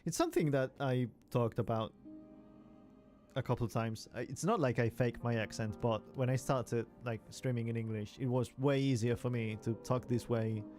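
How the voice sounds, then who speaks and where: A chatty man from Spain streams a game and answers his chat in English, his second language. His voice sits at a middle pitch, loose and full of fillers. Faint game music plays under his talk. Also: moderate pace, darkish tone. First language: Spanish.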